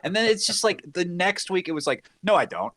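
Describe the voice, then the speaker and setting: A man's voice: sits high, very animated, brisk, fairly bright tone, no echo. A man bantering casually on a podcast panel, repeating himself for emphasis and acting out imagined quotes as he goes.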